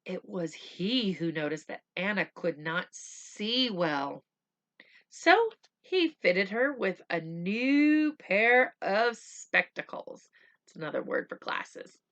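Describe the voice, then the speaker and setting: Female storybook reader for children, unhurried and bright, expressive phrasing. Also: medium pitch. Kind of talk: read-aloud storytelling with simple asides.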